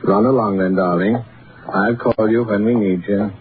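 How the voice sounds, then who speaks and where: Man acting smooth controlling husband, tinny old radio. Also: fairly low pitch, steady tone, normal speed, lightly acted. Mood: suave, businesslike. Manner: smooth, coaxing, leading questions. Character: smooth, controlling husband, a scheming theatrical schemer. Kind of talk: 1940s radio drama acting. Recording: tinny, noisy vintage radio recording.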